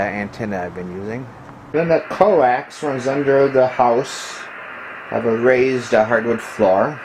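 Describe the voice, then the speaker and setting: Halting man over clear background noise. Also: on the low side. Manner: matter-of-fact, halting, technical explaining. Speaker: ham radio hobbyist showing his equipment. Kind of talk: casual hands-on video walkthrough. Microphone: handheld camera microphone. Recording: clearly noisy handheld camera recording.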